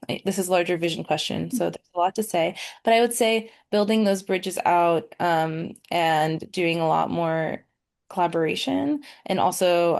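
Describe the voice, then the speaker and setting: Gravelly woman, halting with ums. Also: lowish voice, a little mellow. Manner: hesitant, many ums and restarts. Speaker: panel guest. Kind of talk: casual panel discussion.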